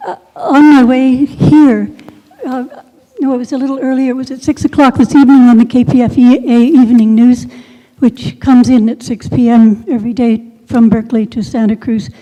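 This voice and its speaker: Halting older woman, very mellow, high-pitched. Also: fairly even intonation. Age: 70s. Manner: halting, searching for words. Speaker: audience member at a community meeting. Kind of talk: halting spontaneous public remarks. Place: large synagogue hall, indoors. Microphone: handheld microphone. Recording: reverberant hall PA, muffled top end.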